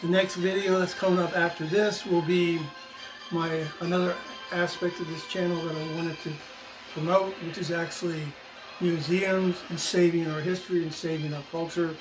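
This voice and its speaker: A man's voice: fairly high pitch, level tone, normal speed, music in the background, poor audio. An American man in his sixties giving an opinionated explanatory monologue to viewers, emphatic and repeating phrases for stress. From United States. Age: 60s. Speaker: video channel host, former teacher.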